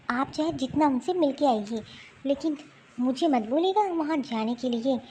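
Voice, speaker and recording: A woman playing a hurt, resolute young married woman in an amateur family cartoon, with an emphatic, drawn-out delivery. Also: high-pitched, a little mellow, slightly rough. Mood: firm refusal.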